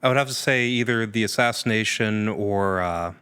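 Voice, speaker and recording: A man's voice: lowish voice, normal speed, pushed and bright, smooth. A man chatting casually as a podcast guest, answering questions with joking asides.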